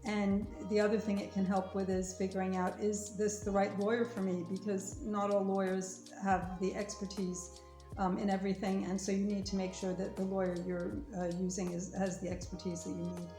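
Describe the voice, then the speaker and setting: A woman's voice: medium pitch, flat delivery, moderate pace, mellow, echoey room, soft background music. A woman lawyer speaking to viewers in an earnest, careful way, urging them to listen to everything she explains. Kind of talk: informational talk to viewers.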